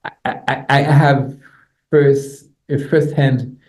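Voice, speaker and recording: Haitian guy, native creole speaker, giving a college lecture, mellow voice, stop and start delivery, echoey room. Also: medium pitch, steady tone. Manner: halting, repeats words, tags points with "you know" and "right?". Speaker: professor, guest lecturer. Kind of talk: academic lecture with slides.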